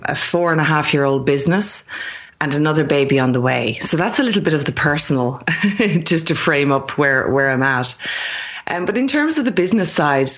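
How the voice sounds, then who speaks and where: Irish woman in her thirties, chatty interview guest, lo-fi audio. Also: deep voice. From Ireland. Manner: chatty, restarts sentences often. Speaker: guest, company founder. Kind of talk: podcast interview.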